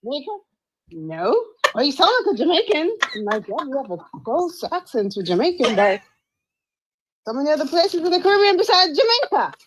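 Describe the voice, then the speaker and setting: A woman from Antigua, a guest on a live radio chat. Her voice sits a little high and swings widely as she tells stories. She often stumbles and restarts her sentences. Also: a little fast, slight background noise, low-quality recording. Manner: animated storyteller, stumbling restarts.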